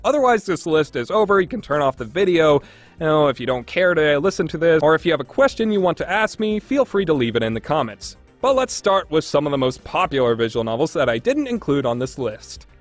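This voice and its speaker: A man's voice: fairly high pitch, big pitch swings, brisk, no echo, soft background music. A man giving a casual, opinionated game review in a blunt, conversational way. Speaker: video game reviewer hosting a podcast.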